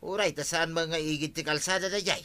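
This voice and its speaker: Stubborn Filipino villager, piercingly bright voice. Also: male voice, on the high side, fairly even intonation, brisk. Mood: defiant. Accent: Filipino, Ilocano. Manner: argumentative, defensive, colloquial. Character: stubborn, brazen male villager, defensive and excuse-making. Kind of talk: Ilocano radio comedy drama acting.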